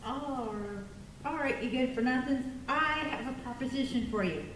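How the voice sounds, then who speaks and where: Lady doing cocky puppet show strongman, kinda high and lively, super echoey and far from the mic. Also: moderate pace, close to an everyday voice. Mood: cocky challenge. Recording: very echoey, distant mic, faint noise.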